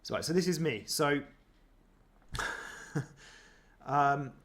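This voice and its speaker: A man's voice: on the high side, drawn-out words. A man chatting casually as he reacts to footage of himself, self-deprecating, with many ums and restarts. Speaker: running vlogger.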